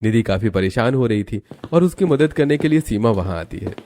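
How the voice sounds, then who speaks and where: A man narrates a cartoon family story from a script. His voice sits fairly low. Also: expressive, fairly quick, warm tone.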